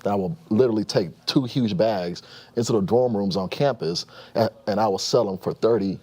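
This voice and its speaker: A man in his thirties from Nigeria, a guest telling his story in a casual interview, quick and full of restarts. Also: lowish voice, slightly rough. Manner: fast-talking, animated storyteller with frequent restarts and laughs.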